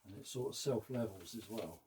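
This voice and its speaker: A man, losing his voice, casually narrating his workshop restoration work in a soft, trailing way. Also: lowish voice, a little fast, a little hiss or hum. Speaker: workshop host. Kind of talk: casual narration while working.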